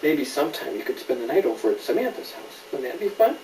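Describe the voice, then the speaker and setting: Middle-aged man, animated storybook reader chatting to a child; roomy, slightly noisy home recording. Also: fairly high pitch, quick pace, recorded from a distance. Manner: animated, checks in with questions. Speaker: father reading a storybook aloud to a child. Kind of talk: bedtime story reading with asides to a child.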